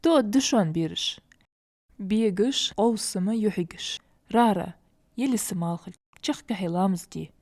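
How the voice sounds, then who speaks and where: A woman voicing a calm cartoon mother. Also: mid-range voice, normal speed, lightly acted. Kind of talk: dubbed cartoon acting.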